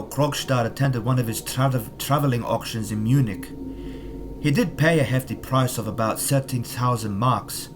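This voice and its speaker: An actor playing an earnest wartime weapons scientist in an audio drama, speaking solemnly and insistently. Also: male voice, mid-range voice, background noise, natural voice.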